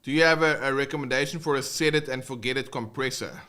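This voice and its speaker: A man's voice: mid-range voice, quick pace, bright, forceful tone. A man with a South African accent, teaching guitar in a casual, rambling livestream with many fillers and restarts.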